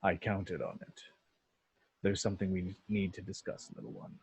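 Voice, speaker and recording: A man playing a calm, menacing alien tyrant in a table read, with a deep, gravelly voice and a slow, fatherly condescension. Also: exaggerated voice. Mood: calm menace. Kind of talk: amateur table read of a film script.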